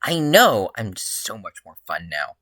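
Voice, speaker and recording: A low-voiced reader voicing a gleeful, chaotic, teasing adult male hero with an exuberant, crowing manner. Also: wildly swooping pitch, fairly bright tone, no echo, exaggerated voice. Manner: exuberant, cackling, drawn-out crowing.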